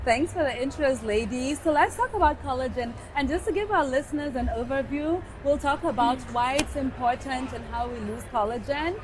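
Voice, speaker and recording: A woman's voice: sits high, normal speed, hardly any pauses. Kenyan-accented woman hosting a podcast. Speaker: dermatologist podcast host. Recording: live event with background noise.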